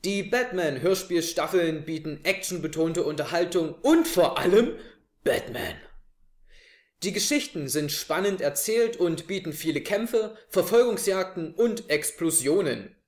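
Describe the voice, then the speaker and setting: A man's voice: high-pitched, lively intonation, measured pace. A young man presenting a prepared review to camera in a living room. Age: young adult. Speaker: host of an audio drama review show. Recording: echoey small room.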